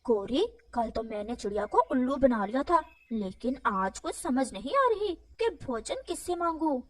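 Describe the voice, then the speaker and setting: A woman's voice: sits high, sing-song, moderate pace, dry recording, far from the mic. A woman voicing a sly, greedy male crow in a children's cartoon, with a wheedling, pleading manner. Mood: scheming. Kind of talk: animated children's moral story dialogue.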